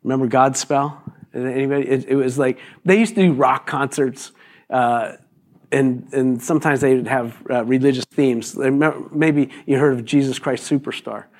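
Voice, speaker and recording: A man in his fifties, a pastor, preaching a sermon in a loose, conversational way, his voice a little raspy today, with many fillers and restarts. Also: mid-range voice, steady tone, normal speed, darkish tone. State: raspy voice this morning.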